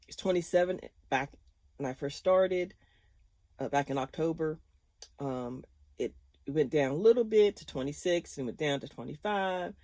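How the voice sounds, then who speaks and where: A woman's voice: deep voice, mellow, no room sound. Woman, fifties, chatty vlogger, laughs a lot, dry close recording. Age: 50s. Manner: chatty and rambling, laughs often. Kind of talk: casual chat to viewers.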